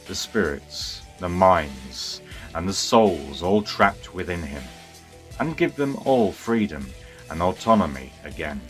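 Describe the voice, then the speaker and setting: A very deep male voice tells a dramatic story in storybook style. He is theatrical and deliberate in his phrasing. Music plays behind him. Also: a bit bright. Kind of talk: dramatic scripted storybook narration.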